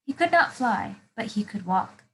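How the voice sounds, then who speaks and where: A woman volunteer narrating a children's storybook in simple, deliberate phrases. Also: mid-range voice, big pitch swings, gravelly.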